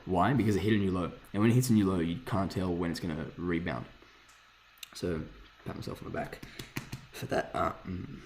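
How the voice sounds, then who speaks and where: A man with a hoarse voice casually thinking aloud while reviewing trading charts, rambling, swearing freely and correcting himself. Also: sits low, steady tone, brisk, darkish tone. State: hoarse, lost voice from screaming. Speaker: forex trader reviewing charts.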